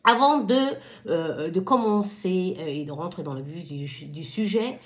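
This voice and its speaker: A woman's voice: fairly low pitch, expressive, measured pace. A woman from Somalia hosting her own wellbeing vlog, speaking to viewers in a hesitant but impassioned, exhorting way. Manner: hesitant, stumbling over words. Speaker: channel host.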